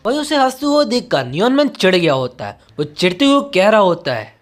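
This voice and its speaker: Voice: mid-range voice, sing-song, brisk, faint music behind. A narrator telling a scripted story in a dramatic, present-tense recap style.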